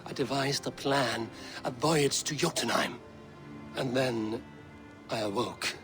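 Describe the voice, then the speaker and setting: An actor playing a stern, fearless Viking raider in a video game cutscene, speaking in a solemn, blunt manner. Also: male voice, mid-range voice, slow, close to an everyday voice. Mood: solemn, haunted. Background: music.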